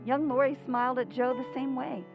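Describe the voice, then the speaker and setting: An actress playing a gentle, reflective mother who narrates in an old-time radio drama. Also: high voice, fairly even intonation, brisk, creaky, over music.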